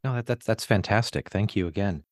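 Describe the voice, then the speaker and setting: Low-voiced male host, academic, winding questions, dry close sound. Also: brisk, no room sound. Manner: long winding questions, hesitant repeats. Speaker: podcast host.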